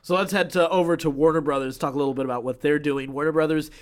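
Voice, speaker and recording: A man's voice: fairly high pitch, fairly even intonation, fast talker. A man co-hosting a podcast, chatting casually about film news. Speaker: podcast co-host.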